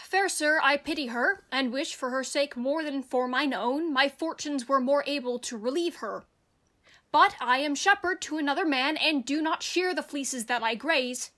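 A woman's voice: high voice, moderate pace, bright, forceful tone. A woman playing a humble, kindly shepherd in a Shakespeare radio play, speaking earnestly and deferentially. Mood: humble, welcoming. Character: humble kindly old country shepherd, a man.

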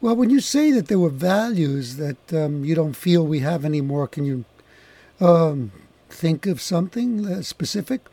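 A man hosting a talk show, introducing his guest in a measured, emphatic way and then interviewing her. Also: fairly high pitch, expressive, gravelly, mellow.

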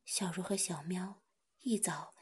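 A woman reading a first-person story aloud in a soft whisper. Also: fairly low pitch, fairly even intonation, unhurried, husky.

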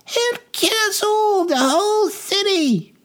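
A man's voice: very high-pitched, lively intonation, slow, a bit bright, pitched above the performer's own voice, exaggerated voice. A man voicing a panicked, shrieking townswoman from an angry mob in an audiobook. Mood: hysterical panic. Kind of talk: audiobook character dialogue.

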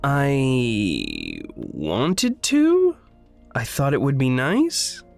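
A man's voice: medium pitch, huge pitch swings, extremely slow, creaky, faint music behind, exaggerated voice. An actor playing a shy, polite young man who speaks hesitantly and apologetically in an audio drama. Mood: tentative.

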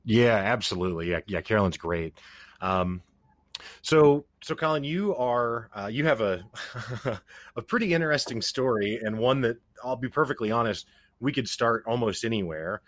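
A man's voice: mid-range voice, animated, normal speed, no echo, clean studio sound. A man hosting an interview podcast, speaking in a conversational presenter style. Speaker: podcast host. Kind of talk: conversational podcast interview with scripted-sounding introduction.

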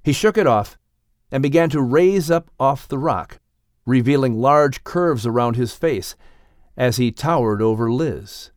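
A man's voice: mid-range voice, expressive, unhurried, no echo, studio quality. A man narrating a dramatic children's audiobook story in a scripted storytelling style.

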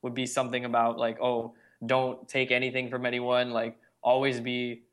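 A man's voice: medium pitch, monotone, normal speed. Young guy on a podcast, earnest, rambly, says "like" a lot, telling his own story. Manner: earnest storytelling, frequent "like" fillers. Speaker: podcast guest. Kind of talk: casual conversation.

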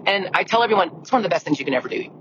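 A middle-aged woman with a deep, scratchy voice hosting a solo podcast, chatty and earnest, often restarting her sentences. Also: animated, racing. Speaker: podcast host. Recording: lo-fi audio with clear background noise.

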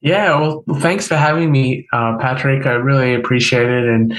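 A man with an Australian accent answering as a podcast guest in a conversational way, often pausing mid-sentence. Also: medium pitch, a bit bright, rough recording.

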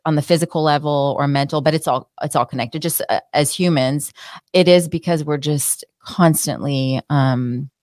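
A woman's voice: sits low, moderate pace, darkish tone, dry recording, clean studio sound. A woman speaking as a wellness expert guest in a casual, reflective, earnest interview chat. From born in the United States, partly raised in Israel.

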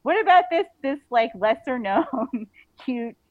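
A woman being interviewed on a casual podcast, telling stories in an animated way and acting out bits of dialogue. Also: sits high, unhurried, dry recording, narrow, tinny audio. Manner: animated storytelling, quotes imagined dialogue, giggly. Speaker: author, interview guest.

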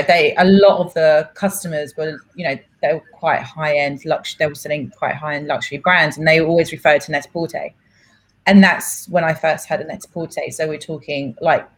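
A woman's voice: low voice, poor audio. Chatty woman with Greek roots. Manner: chatty, laughs often, many fillers. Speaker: guest. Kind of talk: casual interview chat.

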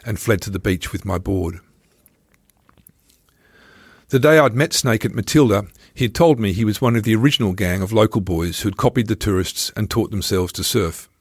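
A man's voice: on the low side, no room sound, studio quality. A man reading his own travel memoir aloud as scripted first-person narration.

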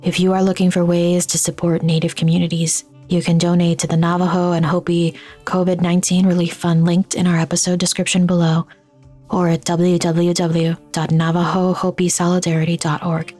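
A woman hosting a podcast, reading scripted outro announcements and credits in a steady, even manner. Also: on the low side, fairly quick, faint music behind. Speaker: podcast host.

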